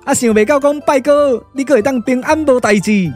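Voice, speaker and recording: An actor with a Taiwanese accent playing a comic, boastful, loyal sidekick in puppet radio drama, earthy and full of exclamations. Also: male voice, extremely high pitch, a little fast, a little mellow, soft background music. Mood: relieved, chatty. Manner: colloquial, exclamatory, drawling.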